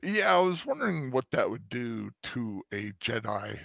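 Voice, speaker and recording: A man's voice: medium pitch, big pitch swings, a little slow, gravelly, fairly bright tone, dry recording, clean studio sound. A man co-hosting a casual podcast, talking hesitantly and searching for words. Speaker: podcast co-host. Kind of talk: casual discussion.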